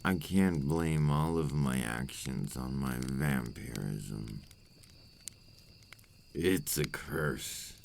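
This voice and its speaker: A man playing a brooding, remorseful male vampire in an intimate ASMR roleplay, speaking in a deep, gravelly voice with slow, formal phrasing. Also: slight background noise, exaggerated voice. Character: remorseful adult male vampire, brooding and tormented by his curse.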